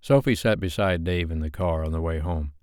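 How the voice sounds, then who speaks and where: A man's voice: sits low, brisk, smooth, no echo, clean studio sound. A man narrating scripted fiction as an audiobook reader.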